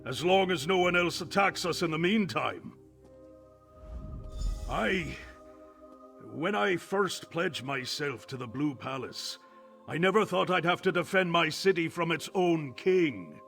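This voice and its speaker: Grave guard commander, earnest soldier, slightly high voice, game music behind. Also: male voice, lively intonation, a little slow, vocal fry, fairly bright tone, exaggerated voice. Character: male city guard commander, steady and loyal. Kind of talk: video game voice acting. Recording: game audio with background music.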